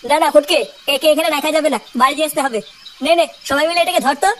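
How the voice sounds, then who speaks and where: A performer playing a high-voiced, bossy cartoon gang leader. He scolds and orders in quick slang, with faint noise behind. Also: fairly even intonation, close to an everyday voice. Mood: exasperated. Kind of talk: comedy cartoon dialogue.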